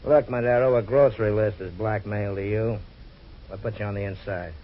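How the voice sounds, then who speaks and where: A man playing a sarcastic, low-voiced police detective. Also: fairly even intonation, moderate pace, close to an everyday voice. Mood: needling. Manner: needling, sarcastic. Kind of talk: 1940s radio drama acting. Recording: dull lo-fi old radio recording.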